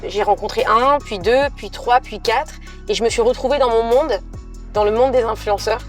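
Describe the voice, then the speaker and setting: A woman with a fairly high voice interviews her guest in a lively, informal, chatty manner, full of eager asides. She grew up in southeastern France and hosts the conversation herself. Faint music hums underneath. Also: normal speed. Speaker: interviewer, influencer host. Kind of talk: casual interview chat.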